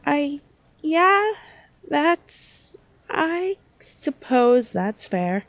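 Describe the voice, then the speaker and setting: A woman playing a hesitant, self-doubting young psychic in a naturalistic audio drama, speaking in halting phrases. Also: high-pitched, sing-song, no room sound, heavily acted.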